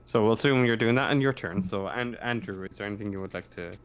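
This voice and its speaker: A low-pitched man acts as game master in a tabletop roleplaying session. He improvises descriptions and rulings in a halting way, repeating and reworking phrases as he goes. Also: clear, resonant tone.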